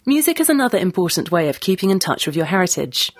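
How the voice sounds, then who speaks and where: A woman's voice: fairly low pitch, sing-song, fast, loud music behind the voice. A woman presenter delivering scripted narration and putting questions to a guest. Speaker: presenter and interviewer.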